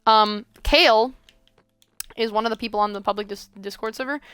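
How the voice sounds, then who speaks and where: A woman's voice: a little high, a little fast, fairly bright tone, smooth, no echo, faint music behind. A woman hosting a casual chat while she builds in a game. She rambles, with many fillers and restarts. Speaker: host.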